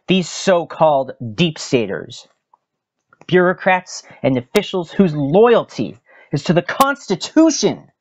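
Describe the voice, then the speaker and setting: An American man, a podcast host, gives a dramatic, deliberate reading of a political commentary with weighted pauses. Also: on the high side. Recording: dull lo-fi sound with music behind.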